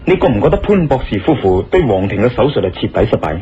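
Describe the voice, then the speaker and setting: An actor playing a calm, questioning investigator in a Cantonese radio drama, speaking in a measured, reasoning way. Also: male voice, medium pitch, slight background noise, close to an everyday voice. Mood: puzzled, thoughtful. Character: thoughtful male investigator, calm, questioning husband. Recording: dull lo-fi radio recording, music behind.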